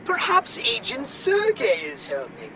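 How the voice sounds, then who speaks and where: An actor playing a haughty, unflappable alien commander in a video game, pompous, theatrical and condescending. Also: male voice, very high voice, huge pitch swings, unhurried, crowd noise, full character voice, rough recording. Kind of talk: video game cutscene acting.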